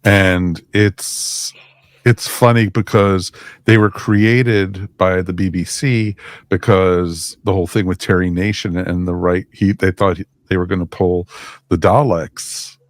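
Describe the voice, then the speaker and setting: A man's voice: low-pitched, steady tone, takes it slowly, dry recording. A man talking casually as a panelist in a podcast review discussion. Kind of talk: casual chat reviewing audio dramas.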